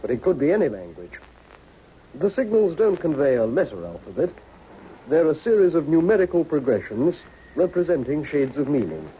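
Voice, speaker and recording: An actor playing an earnest, precise codebreaker in a 1960s radio drama. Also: male voice, on the high side, big pitch swings, normal speed, dark tone. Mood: intent explanation. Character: earnest male codebreaker explaining alien signals. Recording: dull, noisy old radio recording.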